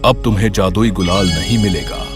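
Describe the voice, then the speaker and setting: Guy playing wise stern talking tree, low measured voice, fairy tale cartoon, music under it. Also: natural voice. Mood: grave, admonishing. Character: grave, admonishing talking magical forest tree. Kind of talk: animated fairy tale dialogue.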